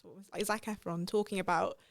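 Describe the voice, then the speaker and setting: Fast-talking chatty woman podcast host. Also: mid-range voice, fairly even intonation, creaky, a little hiss or hum. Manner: chatty, enthusiastic, quick back-channel yeahs. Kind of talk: casual interview chat.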